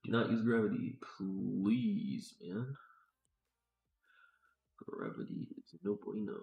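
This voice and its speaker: A man's voice: on the low side, lively intonation, slow, gravelly, muffled recording. A man thinking aloud casually on a livestream as he works, muttering to himself in slangy, sweary talk. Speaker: game developer live-streaming work. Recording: roomy echo.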